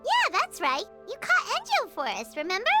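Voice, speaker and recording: A woman's voice: very high-pitched, huge pitch swings, normal speed, heavily acted. A woman voicing a tiny, chirpy female fairy companion who speaks of herself in the third person. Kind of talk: video game voice acting. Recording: game audio with loud music.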